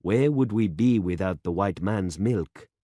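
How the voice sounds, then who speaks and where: A man reading aloud and voicing an elderly male village pastor who speaks slowly and solemnly. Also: deep voice, animated, dark tone, no echo, studio quality. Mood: earnest. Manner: slow and solemn.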